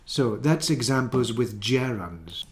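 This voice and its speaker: Male ESOL teacher, slow deliberate delivery, patient step-by-step explanations. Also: mid-range voice, lively intonation. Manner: careful, repeats and rephrases each example. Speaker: English teacher. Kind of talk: slow explanatory grammar lesson.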